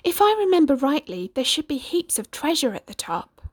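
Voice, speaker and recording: A woman voicing an eager, curious young boy in a storybook with a raised, bright voice. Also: high voice, big pitch swings, moderate pace, no echo, studio quality, pitched above the performer's own voice.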